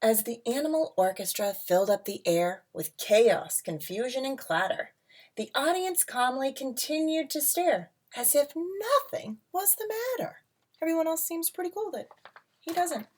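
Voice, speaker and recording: A woman reading a picture book aloud to children as a teacher, with dramatic emphasis, drawn-out words and casual asides. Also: high voice, lively intonation, darkish tone. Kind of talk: expressive storybook read-aloud with casual asides. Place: at home.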